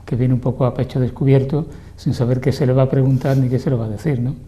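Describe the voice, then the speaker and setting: A man's voice: medium pitch, fairly even intonation, fast talker, dark tone. An older man hosting a local TV interview program, courteous and chatty, speaking in a studio. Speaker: TV program host. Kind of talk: interview host chat.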